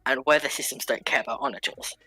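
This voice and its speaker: Woman, very low pitch, bright forceful tone, insistent panelist, dry sound, casual debate. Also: racing, breathy edge, dry recording, soft background music. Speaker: panelist in a group discussion.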